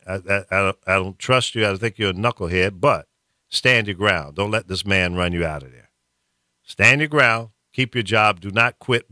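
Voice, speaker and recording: A man in full political rant, his pitch swinging widely as he pushes each point. His tone is bright and forceful, and his blunt delivery trips over repeated words. The sound is dry and close. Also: no echo. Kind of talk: political rant on talk radio.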